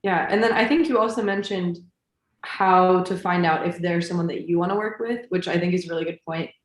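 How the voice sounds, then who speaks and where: A woman on a panel answering questions about music videos in a relaxed way, thinking out loud. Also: lowish voice, fairly quick. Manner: relaxed, thinks aloud with fillers. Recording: roomy echo.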